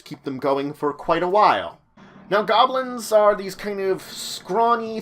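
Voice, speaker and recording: Guy doing explainer narration, voice a bit on the high side, dramatic pauses and little asides. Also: fairly bright tone. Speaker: host of tabletop roleplaying guide videos.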